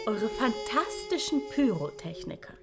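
Radio play: sceptical, imperious patron, raised female pitch, loud music behind. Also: sing-song, unhurried. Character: sceptical, imperious female backer of a doomsday show. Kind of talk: radio drama acting.

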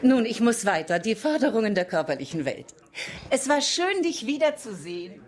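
A woman's voice: on the high side, sing-song, normal speed. A woman playing an effusive, eccentric spiritualist in a German radio drama, airy and grandly condescending. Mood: gushing, airy.

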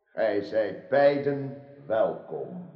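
A man's voice: lowish voice, big pitch swings, extremely slow, full character voice. An actor playing a noble, gracious king in a radio drama, ceremonial and commanding. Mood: stately, gracious. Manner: ceremonial, declamatory. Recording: dull, roomy radio drama recording.

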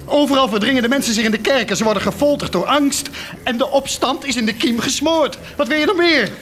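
An actor in a Dutch radio drama playing a driven, scheming war leader with an intense, rhetorical delivery. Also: male voice, very high-pitched, fairly quick, a bit bright. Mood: exultant, insistent. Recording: music behind the voice.

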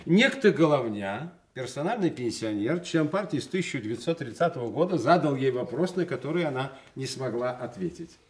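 A man acting in a Soviet radio play as a brisk party secretary, speaking in official phrases with dry, authoritative irony. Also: medium pitch, expressive, natural voice. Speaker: actor.

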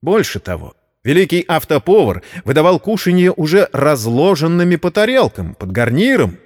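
A man's voice: on the high side, huge pitch swings, moderate pace, darkish tone, in a large hall. A man narrating a scripted story in a dramatic audio-play style.

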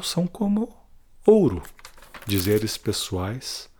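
A man's voice: fairly low pitch, darkish tone. Man acting a weary, guilt-ridden prisoner, solemn. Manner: solemn, deliberate, confessional. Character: weary, guilt-ridden male prisoner confiding a farewell testimony. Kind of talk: dramatic monologue in an audio drama.

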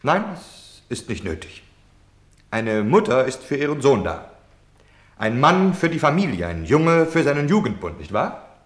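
Defiant, wildly dynamic German ideologue. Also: male voice, mid-range voice, big pitch swings, unhurried, roomy sound. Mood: fanatical, rising. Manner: theatrical, declamatory, swinging from hushed confiding to shouting. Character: defiant self-justifying German man, former Hitler Youth member. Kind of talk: postwar German radio play acting.

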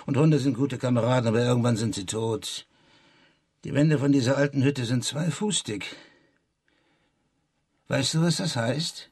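Ein Schauspieler spricht in einem Hörspiel einen prahlerischen, lebenserfahrenen Buschräuber, derb, volkstümlich und überredend. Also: male voice, medium pitch, moderate pace, pauses often, darkish tone, slightly rough. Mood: proud, instructive. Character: boastful, worldly old-hand bushranger mentoring a boy. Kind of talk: radio drama acting.

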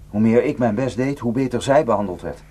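An actor playing a haunted, guilt-ridden male defector in a Dutch radio drama, speaking in a halting, intense and bitter way. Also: mid-range voice, mellow, husky, lightly acted. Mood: anguished, guilty. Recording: noisy radio play recording with hum.

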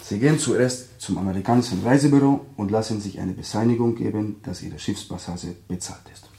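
A man acting a brisk, dryly ironic consular official, formal and instructing, in a German radio drama. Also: on the low side, vocal fry, mellow, roomy sound.

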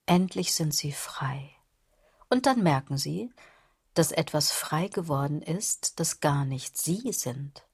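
Husky, low, mocking woman. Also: expressive, takes it slowly, a little mellow, dry recording, clean studio sound, exaggerated voice. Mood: coaxing, ominous. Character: dry, mocking female commentator goading the listener. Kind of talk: literary radio drama monologue.

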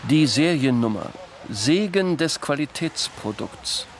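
A man playing a brooding, wealthy arms manufacturer who muses in measured, reflective lines in a radio play. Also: fairly high pitch, expressive, a little hiss or hum. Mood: wry, detached. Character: brooding wealthy male arms manufacturer musing in his garden. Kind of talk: literary radio play monologue. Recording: music behind the voice.

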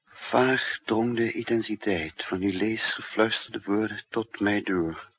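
An actor playing a weary, brooding male spy who narrates his own story with slow, deliberate drama in a 1960s radio play. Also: lowish voice, slightly rough. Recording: dull, echoey old radio recording.

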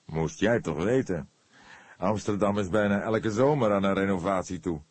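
A man as a deep, husky old detective. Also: normal speed, no echo. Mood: dry. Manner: dry, instructing. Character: gruff, dry old male police detective.

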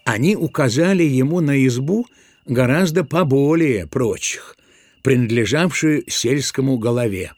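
A man's voice: mid-range voice, lively intonation, measured pace, darkish tone, no echo. Male narrator, very dry studio sound. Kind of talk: scripted literary narration in an audio drama.

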